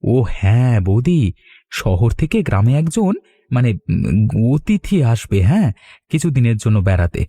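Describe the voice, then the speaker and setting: An actor voicing a good-natured village man in a cartoon, in an expressive, husky voice. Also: mid-range voice, measured pace, long pauses, heavily acted. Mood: cheerful, chatty. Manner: animated, expressive. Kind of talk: Bengali cartoon voice acting.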